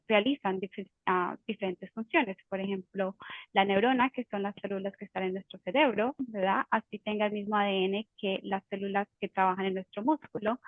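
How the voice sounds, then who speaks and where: A woman explains the topic to a webinar audience in a patient, teacherly way, pausing on fillers and restarting phrases. Her voice sits at a middle pitch and comes through a tinny, phone-like video call line with a very dry sound. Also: no echo. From Colombia. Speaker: genetic counselor. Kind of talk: informative webinar talk.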